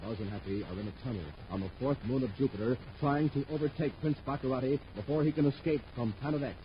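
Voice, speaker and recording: A man's voice: medium pitch, quick pace. Dramatic 1950s radio announcer man, muffled recording. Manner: emphatic, dramatic showman delivery. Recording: muffled old radio recording, faint noise.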